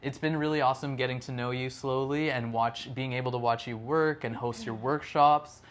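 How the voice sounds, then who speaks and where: A young man hosting a casual interview in a small indoor studio, upbeat and energetic, laughing often, on a lapel mic. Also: mid-range voice, moderate pace. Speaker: host.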